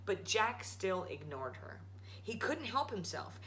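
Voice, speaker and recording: A woman's voice: mid-range voice, big pitch swings, unhurried, a bit bright, low-quality recording. A woman reading a children's story aloud as a storytime host. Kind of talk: animated children's read-aloud.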